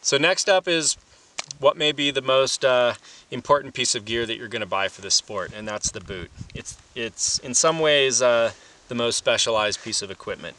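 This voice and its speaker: A man's voice: medium pitch, normal speed, sharp tone. A man gives an informal gear demonstration as an instructor, explaining things in a plain-spoken, matter-of-fact way. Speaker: instructor and presenter. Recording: distant mic, light background noise.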